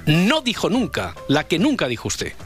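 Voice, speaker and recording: A man's voice: a little high, huge pitch swings, normal speed, a bit bright, soft background music. A man hosting casual morning radio banter in a playful, teasing way. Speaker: radio show host. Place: radio studio.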